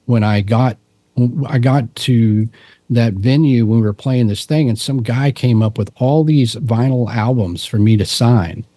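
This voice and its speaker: A middle-aged man from Texas with a low voice, chatting as a podcast guest in a relaxed, rambling way with frequent restarts. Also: measured pace, dry recording. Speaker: podcast guest, musician and cryptid researcher. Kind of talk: casual interview storytelling.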